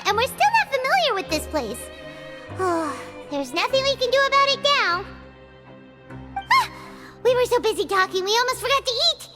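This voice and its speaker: A woman voicing a small, childlike, excitable fairy girl with a squeaky voice in a video game. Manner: excitable, exclaiming, refers to self in third person. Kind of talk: video game cutscene voice acting.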